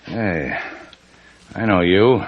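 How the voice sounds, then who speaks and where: An actor playing a tough, laconic, sardonic boat skipper with an American accent, in the style of old radio drama. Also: male voice, low-pitched, extremely slow, muffled recording, full character voice. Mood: wry, suspicious. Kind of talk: 1940s-50s American radio drama acting.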